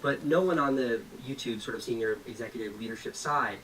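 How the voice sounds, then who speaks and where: A man's voice: medium pitch, a little fast, a bit bright, slight background noise. A man interviewing a guest, asking earnest, probing questions. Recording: distant mic with faint ambience.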